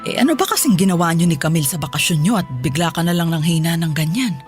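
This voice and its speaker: A woman's voice: lowish voice, animated, quick pace, faint music behind, close to an everyday voice. A woman playing a worried, caring middle-aged mother in a radio drama, speaking with a Filipino accent. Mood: concerned. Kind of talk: Tagalog radio drama acting.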